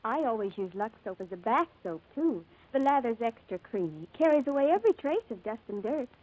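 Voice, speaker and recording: A woman's voice: fairly high pitch, sing-song, fairly quick, darkish tone, low-quality recording. An actress playing a dainty, delighted young woman giving a testimonial in a 1940s radio advertisement.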